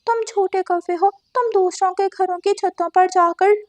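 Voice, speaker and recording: House-proud female cartoon parrot, woman performer, raised high pitch, firm. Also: steady tone, normal speed, dry recording. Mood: indignant. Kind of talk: Hindi cartoon story dialogue.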